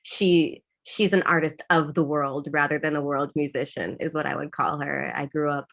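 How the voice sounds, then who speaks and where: Low, gravelly-voiced woman from Zimbabwe. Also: fairly even intonation, moderate pace, a bit bright. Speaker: songwriter. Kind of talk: conversational interview.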